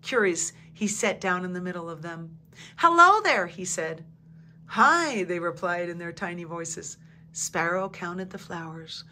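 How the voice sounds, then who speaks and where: A woman narrating a picture book aloud in a calm, even storytelling manner, dropping to a hush at quiet moments. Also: on the low side, normal speed. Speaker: storybook reader.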